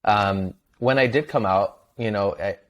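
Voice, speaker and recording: A man who grew up in Venezuela with Spanish as his first language, talking casually as a podcast guest, soft-spoken and laughing between phrases. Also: lowish voice, measured pace. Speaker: guest, content creator. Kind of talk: casual interview conversation.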